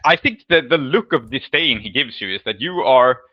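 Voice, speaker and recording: A man acting as game master, explaining rulings and narrating a tabletop game in a measured, deliberating way. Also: medium pitch, lively intonation, no room sound, highs cut off.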